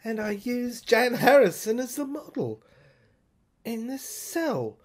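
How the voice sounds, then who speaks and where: A high-voiced woman delivers a dramatic first-person monologue. She moves slowly and haltingly, repeating phrases, and her intonation swings widely in a theatrical manner. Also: sing-song. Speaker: narrator of an audio screenplay.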